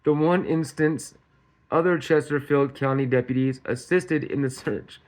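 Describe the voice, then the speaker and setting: A man's voice: a little high, unhurried, darkish tone. A man hosting a commentary channel, reading out news in a casual way and often tripping over words and correcting himself.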